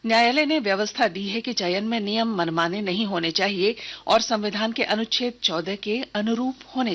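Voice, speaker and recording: A woman reading a scripted radio news bulletin in a formal, measured manner. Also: medium pitch, fairly bright tone. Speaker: news reader.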